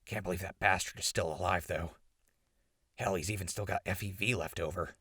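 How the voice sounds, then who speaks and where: An actor playing a sardonic, laid-back ghoul survivor in an audio drama, raspy and gravelly, with a casual, teasing way of talking. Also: male voice, deep voice, steady tone, brisk, pushed and bright, no echo, clean studio sound, exaggerated voice. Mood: animated disbelief. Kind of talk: post-apocalyptic audio drama acting.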